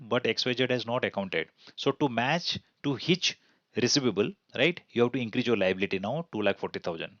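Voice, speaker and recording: A man's voice: medium pitch, fairly bright tone, no room sound, muffled recording. A man with an Indian accent giving an explanatory accounting tutorial in a didactic way, asking and answering his own questions. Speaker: accounting instructor. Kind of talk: explanatory tutorial over slides.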